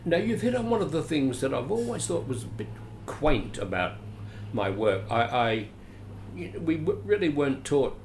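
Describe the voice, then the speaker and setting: A man in his late seventies with an Australian accent, telling stories about his acting career in an animated, theatrical, chuckling way, recorded at home. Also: lowish voice, gravelly. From Adelaide, Australia. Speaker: guest, veteran actor. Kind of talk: storytelling interview. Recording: roomy echo, faint hiss.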